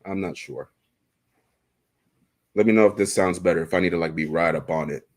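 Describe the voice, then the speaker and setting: A man with a very deep, low voice hosts a laid-back livestream. He chats loosely into a desk mic, jokes around and laughs easily. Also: a little fast. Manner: chatty, laughs often, loose and joking. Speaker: livestream host. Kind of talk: casual livestream chat. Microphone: desk microphone.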